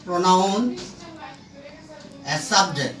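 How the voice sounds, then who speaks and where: A man teaching a grammar lesson in a halting, emphatic way, phrasing word by word. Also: high voice, huge pitch swings, a bit bright, a little hiss or hum. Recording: echoey room, distant microphone.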